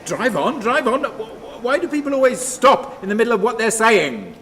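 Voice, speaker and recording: Actor as an anguished, volatile, high-voiced haunted man. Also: very animated, pushed and bright, slight background noise, heavily acted. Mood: desperate, agitated. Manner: halting, volatile, erupting from muttering into cries. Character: anguished, haunted man pleading and brooding aloud. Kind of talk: radio play acting.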